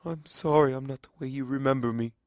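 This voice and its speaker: An affectionate pony wizard as a male narrator plays him, talking in a casual, halting way at a middle pitch. He is gentle and a little awkward, a storybook figure recorded dry and close. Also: dry recording, natural voice. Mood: tender.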